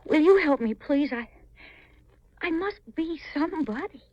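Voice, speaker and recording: An actress playing a lost, confused young woman in a halting, plaintive way, in the style of a 1950s radio mystery. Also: sits high, lively intonation, dry recording. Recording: old muffled radio recording, hissy.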